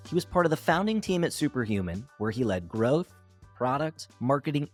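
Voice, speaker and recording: A man's voice: medium pitch, no echo, clean studio sound. A man from the East Coast hosting a podcast, introducing his guest and asking upbeat questions. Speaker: podcast host.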